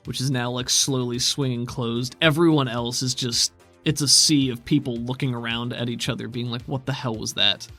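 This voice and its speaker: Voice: medium pitch, steady tone, normal speed, fairly bright tone, faint music behind. A game master and podcast host, improvising tabletop narration and chatting casually.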